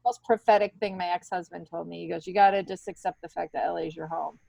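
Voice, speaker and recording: A middle-aged American woman chatting casually as an interview guest, talkative and quick to laugh. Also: on the low side, steady tone, vocal fry, mellow, no room sound, low-quality recording. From upstate New York, United States. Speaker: podcast guest, stand-up comedian and writer.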